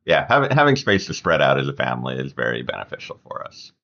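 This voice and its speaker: A middle-aged man with an extremely deep, gravelly voice talks casually on a family podcast. His delivery is plain-spoken yet animated in pitch, and the audio is slightly dull. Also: quick pace. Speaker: podcast guest.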